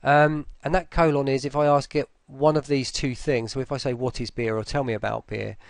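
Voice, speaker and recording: A man presenting a software tutorial in a casual, informal way, thinking aloud as they go. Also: mid-range voice, fairly even intonation, brisk, vocal fry, dry recording. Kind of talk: casual screen-recorded walkthrough.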